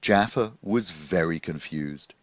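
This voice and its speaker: A man reads a children's story aloud as an expressive narrator, in a gentle, measured storytelling manner. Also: deep voice, no echo, lo-fi sound. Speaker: storybook reader.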